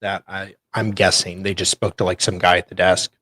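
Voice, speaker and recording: A man's voice: low-pitched, little pitch movement, brisk, bright, forceful tone, dry recording. A man co-hosting a casual commentary, adding offhand, speculative asides. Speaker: co-host.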